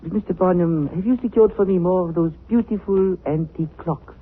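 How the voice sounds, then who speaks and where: An actor playing a suave, menacing smuggler in a 1940s radio drama, speaking with formal, ornate politeness. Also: male voice, high-pitched, a little fast, husky. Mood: courtly, smooth. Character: suave, menacing male smuggler of narcotics with courtly speech. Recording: tinny, band-limited 1940s radio recording.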